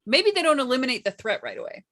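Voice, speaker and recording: A woman chatting as a workshop panelist, explaining things casually and thoughtfully with pauses mid-sentence. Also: high-pitched, huge pitch swings, a bit bright. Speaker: workshop panelist and game storyteller.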